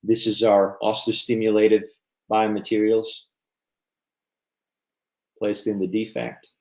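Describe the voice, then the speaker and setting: A man with a fairly low voice gives a technical slide presentation. He explains step by step, breaking his sentences into short phrases with small pauses. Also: level tone, a little mellow. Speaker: dental researcher giving a lecture. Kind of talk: slide presentation at online symposium.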